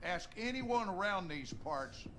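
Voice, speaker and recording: A bitter, bullying country father from a video game. His Southern American drawl is folksy and domineering, with music playing clearly behind him. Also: sits high, expressive, slow pace, pushed and bright, heavily acted. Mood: angry, contemptuous. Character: harsh, bitter, abusive American rural father. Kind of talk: video game drama acting.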